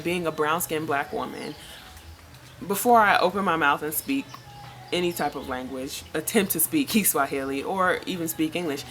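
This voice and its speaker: A woman from the United States talks through her experience in a relaxed, candid vlog, full of "you know" and "like." Her voice sits low in pitch. Faint outdoor ambience and birdsong sit behind her. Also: a little hiss or hum. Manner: chatty, frank, lots of fillers. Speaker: vlogger. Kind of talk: casual personal vlog.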